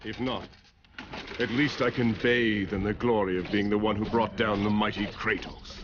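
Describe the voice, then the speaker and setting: An actor playing a proud, desperate Greek hero in a video game, grandiose and scornful. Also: male voice, on the low side, fairly even intonation, normal speed, lo-fi sound, noisy background, close to an everyday voice. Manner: grandiose, theatrical. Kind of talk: dramatic video game acting.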